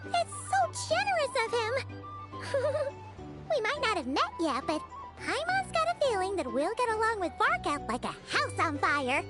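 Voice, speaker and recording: A woman's voice: very high voice, huge pitch swings, a little slow, full character voice. A woman voicing a tiny childlike fairy companion in a video game, cheerful and chatty with exclamatory delivery. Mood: cheerful, eager. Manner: speaks of herself in third person, exclamatory, chirpy. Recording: background music under the voice.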